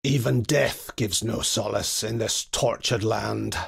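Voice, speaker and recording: A man voicing a devout, grim, righteous old templar in a video game, solemn and preacherly, with a gravelly, husky voice. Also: on the low side, steady tone, slow pace, a bit bright, no echo, clean studio sound, exaggerated voice. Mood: grave, brooding. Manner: solemn, pious, archaic preacherly phrasing. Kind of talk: dramatic video game character voice lines.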